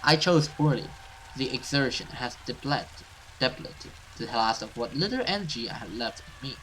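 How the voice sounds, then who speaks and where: A man's voice: mid-range voice, animated, normal speed, a bit bright, slight background noise, low-quality recording. A man hosting a let's play, reading a horror visual novel aloud and stumbling over some words as he goes.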